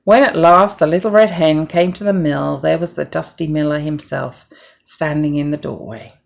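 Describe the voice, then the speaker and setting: Woman, lowish pitch, creaky voice, children's story narration, expressive, deliberate delivery.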